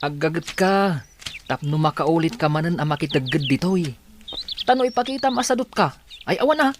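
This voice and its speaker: An actor playing a diligent workmate in a radio drama, urging and admonishing with an Ilocano accent. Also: male voice, fairly high pitch, animated, normal speed, a little hiss or hum, natural voice. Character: diligent male workmate, brisk and prodding.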